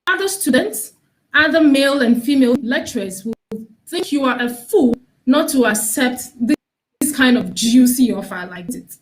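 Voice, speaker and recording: Earnest woman guest, fairly high voice. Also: animated, normal speed, poor audio. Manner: earnest, with fillers and restarts. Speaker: campaign project lead. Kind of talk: studio interview. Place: studio.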